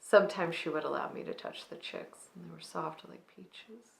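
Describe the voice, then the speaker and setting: A woman performing a dramatic monologue as a wistful, grieving granddaughter, speaking softly with restraint and pauses between phrases. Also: lowish voice, vocal fry. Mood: tender, reminiscent. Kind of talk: dramatic monologue, slow storytelling. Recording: roomy, echoing space.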